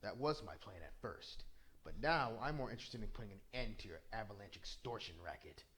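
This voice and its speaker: A man's voice: lowish voice, animated, fairly quick, a bit bright, slight background noise. Male performer as young raccoon thief, cocky, wisecracking, dry, cool, video game hero. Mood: cool, sarcastic.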